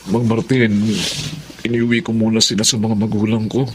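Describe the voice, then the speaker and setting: An actor playing a remorseful, humble reformed husband in a Filipino drama, with an earnest, respectful manner. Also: male voice, on the low side, flat delivery, moderate pace, noisy background, lightly acted.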